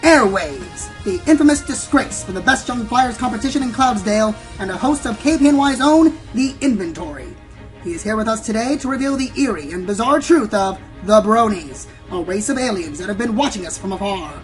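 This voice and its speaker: A melodramatic female pony newscaster, acted by a woman, speaks in a fairly high voice over music. She is insistent and ominous, delivering radio-play news as a grand exposé. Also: animated, fairly quick, natural voice. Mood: ominous, grandiose. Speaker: news anchor and interviewer. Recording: music under the voice.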